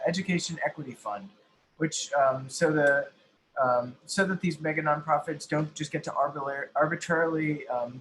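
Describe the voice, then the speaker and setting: A man giving an explanatory advocacy presentation as an activist, halting and often correcting himself mid-sentence. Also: fairly high pitch, a bit bright, rough recording. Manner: halting, frequently self-correcting. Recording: faint background noise.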